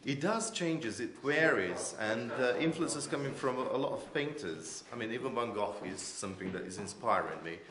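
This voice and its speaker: A man's voice: medium pitch, lively intonation, normal speed, few pauses, a bit bright. A man in his forties with a Croatian accent, answering interview questions hesitantly as he searches for words. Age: 40s. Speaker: artist being interviewed. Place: indoors in an art gallery room. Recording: echoey room.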